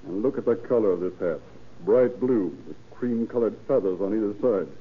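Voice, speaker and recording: An actor playing a curt, impatient police detective in a 1930s radio drama. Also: male voice, fairly low pitch, fairly quick, slightly rough. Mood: businesslike. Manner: curt, businesslike, clipped. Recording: tinny, hissy old radio recording.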